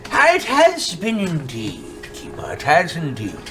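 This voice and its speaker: A man voicing a fussy, formal elderly temple envoy in a tabletop game, speaking in a halting, ceremonious way. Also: a little high, wildly swooping pitch, over music, pitched above the performer's own voice. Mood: prim, formal. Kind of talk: tabletop roleplay in character.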